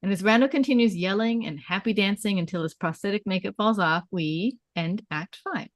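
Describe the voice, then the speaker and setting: A woman's voice: mid-range voice, moderate pace, no room sound, studio quality. A woman giving scripted narration, reading out stage directions at a table read.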